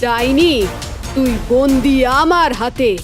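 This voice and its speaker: A woman's voice: high voice, slow, faint music behind. A woman voicing a stern, commanding tantric exorcist in a cartoon, incantatory in manner. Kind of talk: cartoon voice acting.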